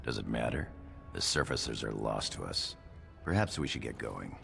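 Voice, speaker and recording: Super low croaky dwarf knight for a game, loyal second, formal and steady. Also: male voice, moderate pace, faint music behind, exaggerated voice. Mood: stern, informative. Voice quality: deep gravelly. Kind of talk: video game voice acting.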